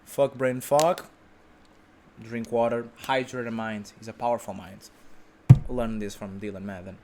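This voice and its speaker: A man's voice: fairly low pitch, fairly even intonation, unhurried, very noisy. A man sitting on his sofa at home, delivering an unedited vlog monologue in a brash, self-assured way, rambling with restarts and the odd swear word. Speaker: vlog host.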